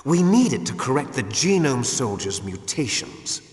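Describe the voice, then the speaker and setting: An actor playing a cold, commanding terrorist leader in a video game, speaking in a measured, imperious way. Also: male voice, mid-range voice, very animated, a little mellow. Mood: cold, resolute. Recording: echoey hall with long reverb.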